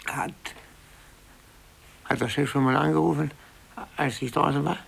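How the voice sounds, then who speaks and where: An actor playing a browbeaten, blustering, middle-aged office clerk who stammers and gets flustered, in a 1950s German radio play. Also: male voice, fairly high pitch, steady tone, measured pace, creaky, breathy edge, full character voice. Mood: flustered, evasive. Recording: hissy 1950s radio recording.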